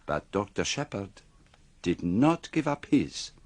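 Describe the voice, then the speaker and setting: Slow, theatrical detective, swooping delivery. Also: male voice, fairly low pitch, sing-song, exaggerated voice. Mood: probing, triumphant. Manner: deliberate, dramatic pauses, formal phrasing. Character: precise, theatrical male foreign detective revealing a murderer. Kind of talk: radio mystery drama acting.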